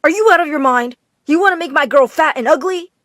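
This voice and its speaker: Woman acting melodramatic mother, high-pitched, snobbish, scolding, overbearing, animated storytelling. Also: normal speed, dry recording, clean studio sound. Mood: outraged. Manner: shouting, scolding, dramatic.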